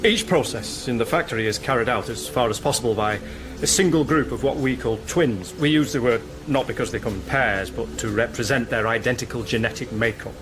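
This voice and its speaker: An actor playing an eager factory tour guide in a radio drama, polite, fussy and officious. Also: male voice, mid-range voice, a little fast, lightly acted. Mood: officious, proud. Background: music.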